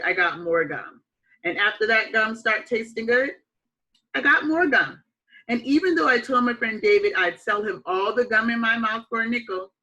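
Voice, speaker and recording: A woman reading a children's picture book aloud like a teacher, with expressive, emphatic phrasing and deliberate dramatic pauses. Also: mid-range voice, low-quality recording.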